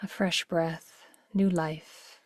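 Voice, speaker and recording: Low-voiced woman guiding a meditation. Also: steady tone, no echo. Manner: gentle, unhurried, soothing delivery with soft breathy phrase endings. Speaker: meditation guide. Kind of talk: guided meditation.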